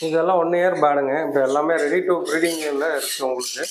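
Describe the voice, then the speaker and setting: A man's voice: a little high, moderate pace, a crowd in the background. Male breeder, plain explainer, recorded in a roomy echoing aviary. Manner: plain, matter-of-fact explaining. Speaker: bird breeder and farm owner. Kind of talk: casual explanation to an interviewer. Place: indoor aviary with cages. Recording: echoey room.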